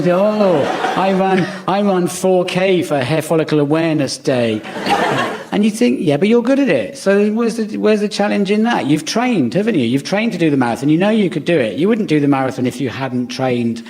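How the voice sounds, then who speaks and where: A man ad-libbing comic arguments as a panel guest, excitable and mock-earnest. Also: a little high. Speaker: comedy panel show guest. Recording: very noisy live comedy show recording.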